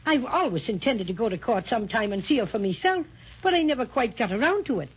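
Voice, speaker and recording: A man's voice: extremely high pitch, sing-song, husky, exaggerated voice. A man playing a feisty, teasing little teddy bear with a wry, peppery manner in a 1930s children's radio serial. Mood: cheerful, eager. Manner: wry, peppery, quick to tease. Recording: muffled 1930s radio recording.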